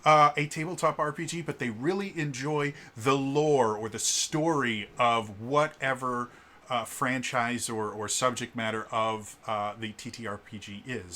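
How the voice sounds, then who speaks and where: Deep-voiced young man, bright edge, casual reviewer who stammers and fills with "um". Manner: stuttering restarts on words, many fillers. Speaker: tabletop RPG reviewer.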